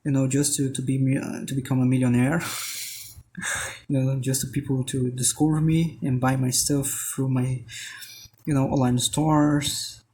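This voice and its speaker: A man's voice: medium pitch, level tone, a little slow, a little mellow, a little hiss or hum. A Brazilian man, a native Portuguese speaker with a Brazilian accent, rambling through a casual voice-over as he sketches, full of restarts and fillers, now and then joking at his own expense. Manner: joking, self-deprecating. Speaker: artist and channel host.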